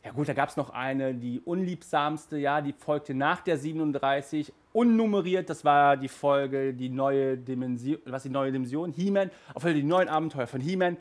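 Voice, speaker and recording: A man in his thirties giving a chatty, animated video review from a small room, speaking into a headset mic. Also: medium pitch. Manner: chatty and animated, gestures with hands. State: hot and sweaty in a stuffy room. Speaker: audio drama reviewer. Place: small room with toy shelves, indoors.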